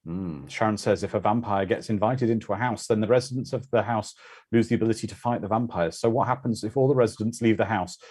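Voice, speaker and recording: A man's voice: lowish voice, quick pace, a little mellow, breathy edge. A man hosting a watch party, giving casual, conversational commentary on a film.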